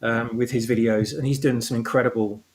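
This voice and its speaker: A man with a medium-pitched voice talks casually as a guest about creative work. He speaks haltingly, circling back and rewording his phrases as he goes. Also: fairly even intonation. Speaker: guest, audio drama creator. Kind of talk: casual discussion.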